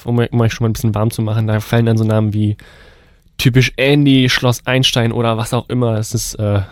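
A man's voice: mid-range voice, little pitch movement, fairly quick, dry recording, clean studio sound. A young man with a cold, co-hosting a student radio podcast in a loose, rambling way with many fillers and restarts. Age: young adult. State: has a cold. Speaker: podcast co-host. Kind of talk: casual radio podcast chat.

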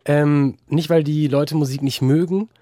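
A young man in a casual interview, talking hesitantly with many fillers and restarts. His voice is dark and mellow, recorded close and dry. He is a musician speaking as a guest. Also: on the high side, fairly even intonation, measured pace, no echo. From Germany.

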